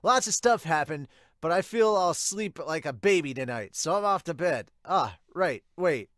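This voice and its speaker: An excitable, brash teenage ballplayer from a manga, acted out by a man. The voice is pushed up high and loud, eager and cheerful, in a dry close recording. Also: moderate pace, no echo.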